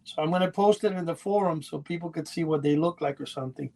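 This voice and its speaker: Rambling high-voiced man, chatting. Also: fast, darkish tone. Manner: rambling, self-correcting. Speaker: podcast co-host. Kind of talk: casual chat, explaining.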